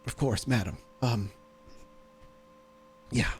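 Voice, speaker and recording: A man's voice: medium pitch, slow, slightly rough, voice pushed up. A man voicing an injured, deferential servant in a tabletop game, wheezy and strained, almost jovial under the pain.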